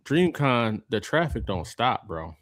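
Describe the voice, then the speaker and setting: A man's voice: mid-range voice, very animated, a little slow, no echo, clean studio sound. A man co-hosting a podcast, laid-back and joking, full of slang. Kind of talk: casual podcast chat.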